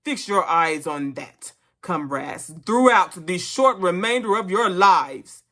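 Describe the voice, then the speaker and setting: A reader voicing an elderly boar, a solemn revolutionary orator addressing fellow animals in a storybook, with deliberate, emphatic delivery. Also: mid-range voice, animated, fairly bright tone. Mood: rousing, urgent. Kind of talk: dramatic storybook reading of a speech.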